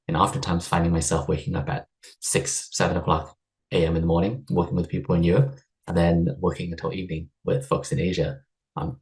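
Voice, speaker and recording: Man, low voice, upbeat and chatty, grew up in the US, online workshop coach, video call. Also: gravelly, poor audio. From United States (Seattle area). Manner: upbeat, chatty, laughs often, many fillers. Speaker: stress and wellbeing coach leading a workshop. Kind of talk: live online workshop presentation.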